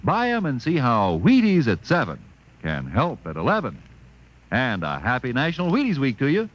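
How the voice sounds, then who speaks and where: A man giving a folksy, chatty, emphatic sales pitch in old-time radio advertising style, on a dull vintage recording. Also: huge pitch swings, normal speed, slight background noise. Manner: chatty, emphatic, direct address. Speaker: commercial pitchman.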